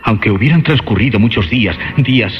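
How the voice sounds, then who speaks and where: A man narrating a horror radio play in a theatrical, suspenseful way, with emphatic phrasing and dramatic pauses. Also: medium pitch, animated. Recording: dull lo-fi audio under music. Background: dramatic music.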